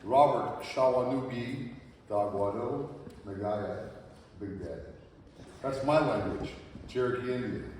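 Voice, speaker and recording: A man speaking in a measured way as he introduces himself to students. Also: medium pitch, a little mellow, slight background noise. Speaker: charity biker speaking to students. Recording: echoey hall from far off.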